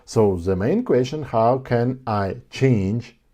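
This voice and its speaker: Male instructor, 30s, warm low-key tone, animated delivery, studio lapel mic. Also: slow pace. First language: Ukrainian. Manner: explains step by step in non-native English, gesturing with his hands. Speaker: online ads instructor. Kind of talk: screen-share tutorial. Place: dark studio with blue backlight. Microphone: small lapel mic.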